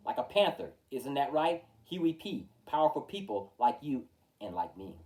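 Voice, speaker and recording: A man reading a rhyming children's picture book aloud with rhythmic, emphatic, expressive delivery. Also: medium pitch. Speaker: storytime reader. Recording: distant from the mic.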